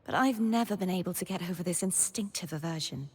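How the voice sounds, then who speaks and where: Soft, husky, solemn young knightly maiden. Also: female voice, fairly low pitch, fast. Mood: wistful, light. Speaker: game character voice actor. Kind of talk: scripted character monologue. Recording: long reverb on the voice.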